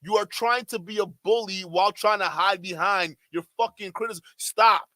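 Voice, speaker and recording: Incredulous high-voiced male streamer. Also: normal speed, pushed and bright, no echo. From Nigeria. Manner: exasperated, incredulous, talks straight to chat. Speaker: streamer reacting to a livestream. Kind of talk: livestream reaction commentary.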